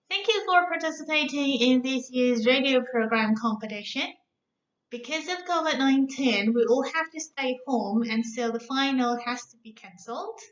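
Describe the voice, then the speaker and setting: Higher-pitched woman in an echoey room. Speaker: competition judge. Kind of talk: prepared announcement to contestants. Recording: echoey room, dull muffled sound.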